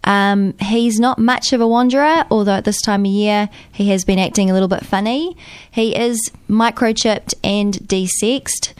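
NZ woman, nasal but smooth, earnest appeal, dry close mic. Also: mid-range voice, moderate pace, no room sound. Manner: earnest, appealing for help, light laughs. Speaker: guest. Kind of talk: casual chat.

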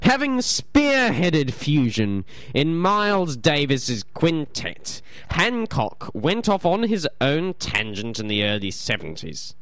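A man's voice: on the high side, huge pitch swings, slow pace, few pauses, fairly bright tone, no room sound. A man from New hosting a community radio show, chatting casually, rambling and reading notes aloud, often stumbling and repeating words.

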